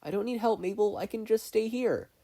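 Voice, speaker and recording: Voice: mid-range voice, a little fast, mellow, pitched above the performer's own voice, close to an everyday voice. A narrator voicing a despairing, self-loathing, defensive adolescent boy in a fan fiction reading. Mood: resigned. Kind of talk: fan fiction audiobook character voice.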